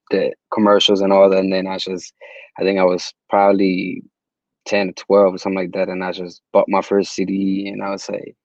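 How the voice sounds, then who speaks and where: A young man from Puerto Rico, a guest in a casual interview, talking in relaxed slang with many restarts. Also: deep voice, gravelly, no room sound, dull audio. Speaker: guest, music distributor.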